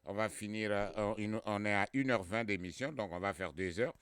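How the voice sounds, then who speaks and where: A male radio host in a studio, leading a call-in conversation. His voice is low and smooth and the sound is close and dry. He fills pauses with hesitant "euh"s and keeps chiming in with short agreements. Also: a bit bright, no echo. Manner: hesitant, many fillers, frequent agreeing interjections. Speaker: radio talk show host.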